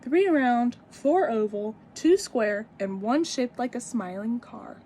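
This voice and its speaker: A woman's voice: fairly high pitch, slow pace, mellow, slight background noise. A woman voicing a helpful big sister in a picture book, listing things with careful emphasis.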